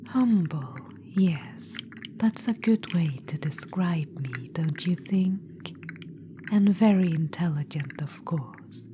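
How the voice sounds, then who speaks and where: A woman voicing a vain undead witch-queen necromancer in an ASMR roleplay, whispering softly and calling the listener darling. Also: lowish voice, slow pace. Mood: smug whisper. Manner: soft intimate whisper, calls listener darling; smug, boastful, self-satisfied. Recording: whisper with steady background noise.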